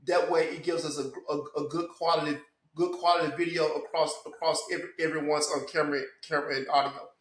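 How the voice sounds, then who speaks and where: Higher-voiced man in an echoey room. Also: level tone, quick pace. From Memphis. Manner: repeats words and restarts often. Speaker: podcast host. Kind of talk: casual tech chat.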